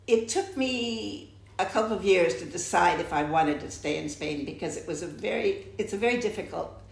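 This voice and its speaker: An older American woman with a deep, gravelly, creaky voice, slightly low for a woman. She tells her story in a reflective, halting way, restarting her phrases often. Her voice sounds roomy and echoey. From United States. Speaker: interviewee. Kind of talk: oral history interview. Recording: echoey room.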